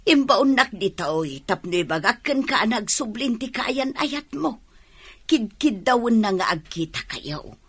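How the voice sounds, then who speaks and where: An actress as a middle-aged village mother in a radio play. She speaks slowly and motherly, in a husky, fairly high voice. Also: big pitch swings, fairly bright tone, exaggerated voice. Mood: coaxing. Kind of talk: Ilocano radio drama acting.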